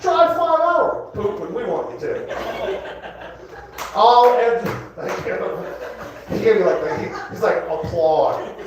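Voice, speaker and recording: A man's voice: high-pitched, big pitch swings, a little slow, long pauses, muffled recording, noisy background. A man in his sixties doing a rambling, self-mocking stand-up set on a comedy club stage, fired up and bantering with the crowd. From Florida. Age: 60s. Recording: echoey club from a distance with crowd. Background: audience laughing.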